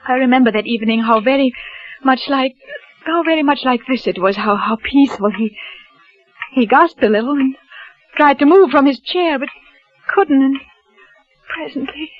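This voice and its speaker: A jittery young wife stammers and catches her breath, played by an actress in an old radio thriller. Her voice sits high and thin, and the whole broadcast comes through dull and muffled, like an old radio play. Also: normal speed, long pauses, close to an everyday voice. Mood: confessing. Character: frightened young wife hiding her fear from her husband. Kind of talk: 1940s radio drama acting.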